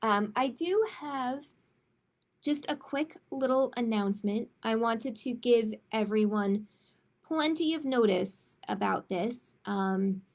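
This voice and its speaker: A woman speaking as a children's storytime host, in a measured manner suited to picture books and simple chat with families. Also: fairly high pitch, vocal fry, darkish tone. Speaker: children's librarian hosting storytime. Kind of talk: picture book read-aloud, then casual announcements.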